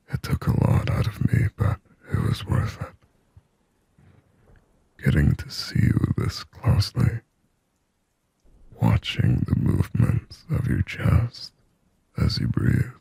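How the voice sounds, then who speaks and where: A man acts out a creepily tender male demon from an ASMR horror roleplay. The voice is extremely deep and full of creak, mostly sinking into a dark, breathy whisper. He speaks haltingly and intimately, possessive yet shy, in very dry close sound. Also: no room sound, full character voice. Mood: shy, confiding, then longing, possessive. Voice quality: breathy, husky whisper with low gravelly creak. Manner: slow, halting, hushed, intimate.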